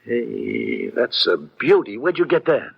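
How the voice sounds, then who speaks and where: A proud American police lieutenant speaks in punchy, clipped slang. He is blunt, with a middling pitch. It sounds like a dull, old radio detective drama recording. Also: wildly swooping pitch, slow pace, gravelly, slightly rough, exaggerated voice. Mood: eager.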